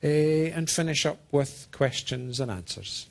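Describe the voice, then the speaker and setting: A man from Scotland with a mixed Scottish and American accent gives an informal technical presentation, speaking deliberately and haltingly as he checks in with his listeners. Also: on the high side, animated, gravelly. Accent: Scottish-American mix. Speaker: software program manager giving a presentation. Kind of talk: informal technical talk to a small audience.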